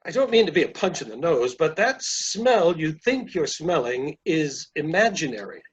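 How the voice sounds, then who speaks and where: A performer playing a blunt, grumpy naysayer in readers theater, curt and deadpan. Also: medium pitch, normal speed, natural voice. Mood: blunt, matter-of-fact. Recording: dull, lo-fi sound.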